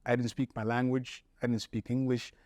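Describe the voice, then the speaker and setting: A man's voice: medium pitch, flat delivery, normal speed, a little mellow, no room sound, studio quality. A man in his forties from Somalia, raised in Italy and Canada, talking reflectively as an interview guest. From Somali-born, raised in Italy and Canada. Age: 40s. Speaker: podcast guest, spoken-word poet.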